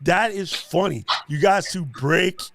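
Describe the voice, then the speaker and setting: A man's voice: sits high, unhurried, vocal fry, heavy background noise. A man hosting a casual live stream chat, playful and joking with plenty of slang.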